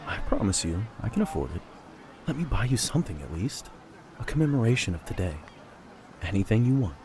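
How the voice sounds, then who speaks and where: A man playing a kind, earnest young mercenary in an audio drama. He talks in a warm, reassuring way. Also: on the low side, very animated, brisk, slight background noise. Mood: generous.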